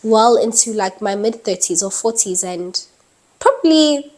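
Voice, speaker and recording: A woman's voice: a little high, lively intonation, unhurried, darkish tone. A woman in her early twenties talking casually to camera in a vlog, chatty, with lots of "um" and "like". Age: early 20s. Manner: chatty, many fillers like "um" and "like", laughs lightly. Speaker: vlogger. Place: indoors at night, dim lighting.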